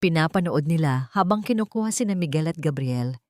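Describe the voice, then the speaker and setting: A woman with a low voice narrates a dramatic audiobook. Her phrasing is solemn and deliberate, and the sound is dry, with no room echo. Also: expressive, darkish tone. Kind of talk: scripted dramatic story narration.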